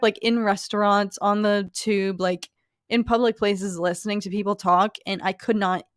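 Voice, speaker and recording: A woman's voice: medium pitch, no echo, clean studio sound. A young woman from Philadelphia with a light Philly accent, chatting casually and giggling as she reads comments on a live stream. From Philadelphia, USA. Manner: chatty, giggly, lots of "like" and restarts.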